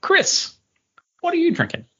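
A man's voice: very high voice, wildly swooping pitch, a little slow, fairly bright tone. A man hosting a podcast in casual conversation, recorded with dull lo-fi sound. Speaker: podcast host. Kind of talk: casual chat.

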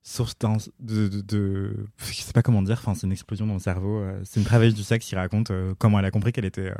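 A man co-hosting a chatty podcast, enthusiastic and quick, often stumbling over fillers as he explains things. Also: lowish voice, little pitch movement, mellow, no room sound, studio quality. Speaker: podcast co-host. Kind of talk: casual chat.

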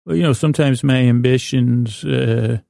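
Male sleep-podcast host, heavily gravelly fry, halting soothing rambles, close dry sound over soft music. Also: medium pitch, dry recording. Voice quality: creaky, gravelly. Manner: meandering, halting, stammering and repeating himself; lulling, soothing.

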